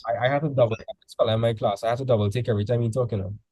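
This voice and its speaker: A man's voice: mid-range voice, fairly even intonation, very fast, darkish tone, husky, dry recording. A male teacher tutoring students in a casual session, full of "like" fillers, quizzing them with questions as he goes.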